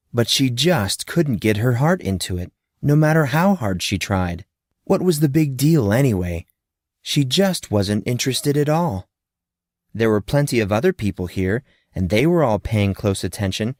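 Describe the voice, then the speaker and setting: Male storyteller for scripted fiction: medium pitch, expressive dramatic narration, dry studio-like sound with no room echo.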